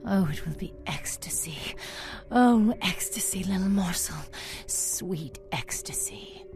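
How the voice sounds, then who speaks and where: An actress playing a seductive, deranged vampire cult zealot in a video game, purring theatrically with predatory sweetness. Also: female voice, medium pitch, expressive, a little mellow, whispered. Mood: ecstatic, hungry. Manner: breathy, drawn-out, theatrical purring. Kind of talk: video game villain dialogue. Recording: music behind the voice.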